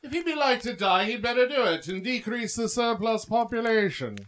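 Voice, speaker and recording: An actor playing a stern, righteous ghostly spirit who delivers scornful rebukes in a declamatory radio play style. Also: male voice, very high voice, lively intonation, moderate pace, fairly bright tone. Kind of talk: theatrical radio play acting.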